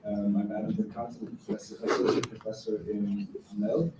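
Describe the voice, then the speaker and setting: A man's voice: lowish voice, fairly quick. A man opening a university event, polite and matter-of-fact, reading announcements and introducing speakers. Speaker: event introducer, associate professor. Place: university lecture room. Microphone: distant room recording. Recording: echoey room from far off, faint hiss.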